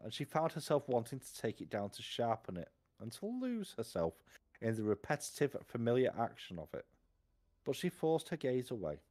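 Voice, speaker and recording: Low-pitched man reading a story aloud. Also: darkish tone. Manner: unhurried narration with occasional stumbles and self-corrections. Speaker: live stream reader. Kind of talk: read-aloud storytelling from a fantasy novel.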